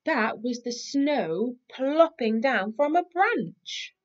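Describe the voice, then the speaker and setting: A woman's voice: sits high, lively intonation, takes it slowly, dull audio, voice pushed up. A woman voicing a patient, reassuring grown male bear in a children's storybook, coaxing in a singsong way.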